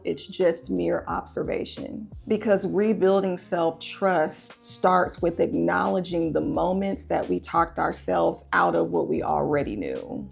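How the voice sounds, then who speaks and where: A woman's voice: lowish voice, vocal fry, husky. A woman relationship coach telling a personal story in a conversational, confessional way, often putting questions to her listeners.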